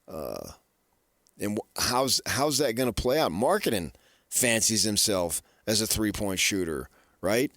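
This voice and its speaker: A man's voice: fairly low pitch, a bit bright, no room sound, studio quality. A man hosting sports radio in an unscripted style, often pushing his points with repeated rhetorical questions. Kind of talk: unscripted sports talk commentary.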